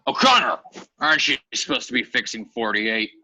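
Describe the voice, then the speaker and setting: A man's voice: medium pitch, very animated, brisk, bright, forceful tone, heavily acted. A man playing an irritated, weary mine foreman in a table read over a video call. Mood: exasperated. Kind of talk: table read of fan fiction. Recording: slightly dull video-call audio.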